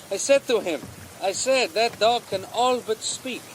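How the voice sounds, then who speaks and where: Excitable, high-voiced gold prospector. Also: male voice, measured pace. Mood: cheerful, wary. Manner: exclamatory, colourful, animated. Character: excitable, dreamy gold prospector, fond of dog and partner. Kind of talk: radio drama acting. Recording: noisy outdoor river effects behind.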